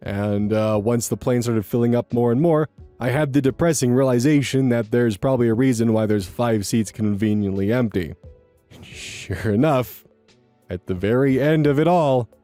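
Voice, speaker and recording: A man's voice: medium pitch, moderate pace, dark tone, no echo, soft background music. A young man reading online posts aloud and commenting on them in a rambling, casual way, repeating words and swearing now and then.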